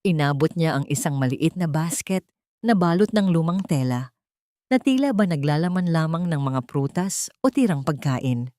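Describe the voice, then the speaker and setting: A woman reading a dramatic scripted audio story in a solemn, deliberate way. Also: low voice, animated, mellow, no room sound, clean studio sound. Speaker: story narrator.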